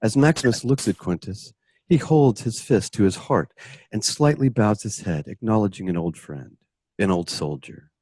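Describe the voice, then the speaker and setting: Deep voiced guy narrating a radio play, husky, dry close sound. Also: expressive, moderate pace, warm tone, no echo. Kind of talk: scripted narration of a radio drama.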